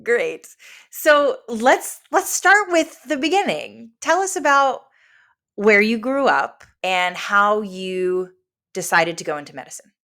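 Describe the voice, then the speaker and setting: A woman's voice: mid-range voice, sing-song, slow pace, sharp tone. A woman hosting an education podcast, introducing and interviewing her guest in an enthusiastic, encouraging way. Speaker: podcast host.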